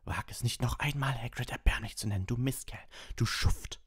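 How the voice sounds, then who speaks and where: A man voicing a fierce, earnest, highly strung schoolgirl in a storybook, with a shrill, agitated manner. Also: brisk, breathy edge, no room sound, in a whisper, studio quality. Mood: furious.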